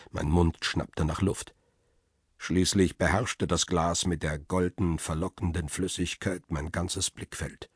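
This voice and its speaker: An actor playing a world-weary photographer who narrates his ordeal in a radio crime play, sombre and deliberate. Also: sits low, husky, no room sound, clean studio sound, full character voice. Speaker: first-person narrator. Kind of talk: dramatic scripted narration.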